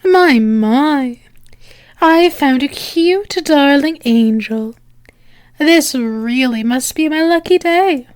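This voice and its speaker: A woman playing a flirtatious female demon in an ASMR roleplay, teasing and sultry, breathy and often slipping into a whisper, close to the microphone. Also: high voice, expressive, no room sound. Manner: sultry, teasing, drawn-out with lingering pauses.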